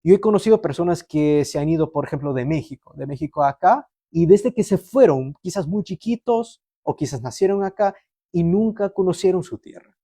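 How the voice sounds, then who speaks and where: Higher-voiced man preaching, mellow tone. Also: expressive, fairly quick, slightly rough, no echo, studio quality. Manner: earnest, explanatory, rhetorical questions and repetition for emphasis. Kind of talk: sermon, conversational storytelling.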